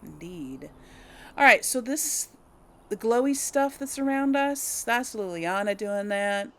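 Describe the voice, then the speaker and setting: A woman's voice: a little high, lively intonation, takes it slowly. A woman giving casual, hesitant let's play commentary, thinking aloud to viewers as she plays. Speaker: host of a gaming let's play.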